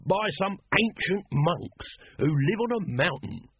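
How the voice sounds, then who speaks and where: A man's voice: sits high, very animated, unhurried, narrow, tinny audio, a little hiss or hum, voice pushed up, heavily acted. A man voicing a cheerful, shameless street trader in an audiobook, with pleasant colloquial sales patter. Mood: salesy. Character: cheerful shameless male street huckster, chummy and wheedling.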